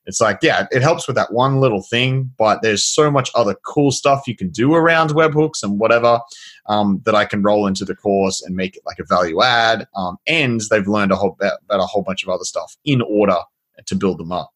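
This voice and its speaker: A man hosting a casual podcast conversation, thinking aloud in a relaxed way with many fillers and the odd mild swear. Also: fairly low pitch, brisk, breathy edge.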